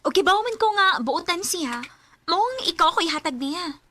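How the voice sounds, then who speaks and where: A woman's voice: very high voice, lively intonation, moderate pace, bright, forceful tone, full character voice. A woman playing a young married elder sister in a radio drama, protective and coaxing in a conversational way. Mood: teasing, persuasive.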